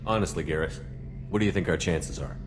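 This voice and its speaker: An actor playing a steady human military commander in a video game, asking direct questions in a plain, businesslike way. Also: male voice, low voice, fairly quick, over music, natural voice. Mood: calm, curious. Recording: loud background noise, game ambience.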